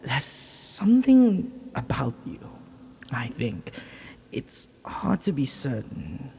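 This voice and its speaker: A man's voice: mid-range voice, huge pitch swings, takes it slowly, vocal fry, breathy edge, full character voice. A man playing a flirty, ancient banished villain in an intimate audio roleplay, lonely and teasing, with hesitant, murmuring delivery. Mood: curious, musing. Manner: flirtatious, hesitant, breaking into murmurs and soft chuckles.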